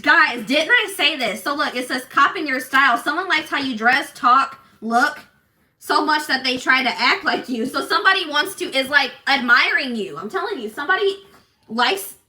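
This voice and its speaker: A woman doing a casual tarot reading for her viewers, talking in an assertive, loud way with lots of restarts and "like". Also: sits high, moderate pace. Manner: animated, many restarts and fillers. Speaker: tarot reader.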